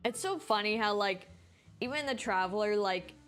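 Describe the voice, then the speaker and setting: A woman's voice: medium pitch, animated, normal speed, a bit bright, soft background music. A woman streaming a game, giving casual live commentary and thinking aloud in a chatty way.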